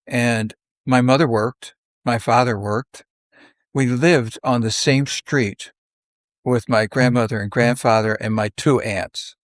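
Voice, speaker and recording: An older man reminisces conversationally, in halting, unhurried phrases, often acting out bits of dialogue. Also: mid-range voice, dry recording. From South Philadelphia, United States. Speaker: podcast guest.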